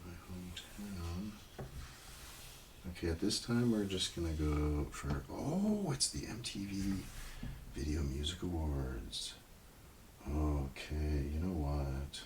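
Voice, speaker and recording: A soft-spoken man doing casual ASMR, muttering as if to himself and slipping into whispers, in a roleplay style. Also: lively intonation, slow. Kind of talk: ASMR, casual muttering while browsing TV listings. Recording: clear background noise, page rustling and clicks. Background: page flipping and highlighting sounds.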